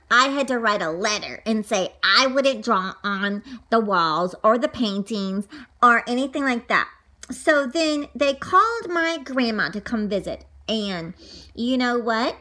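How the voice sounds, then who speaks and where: An excitable, mischievous little girl, tells her storybook adventures. Her voice is high and bright and swings widely in pitch. She lingers on words at an unhurried pace, full of giggly gushing. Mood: fond. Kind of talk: animated children's storytelling.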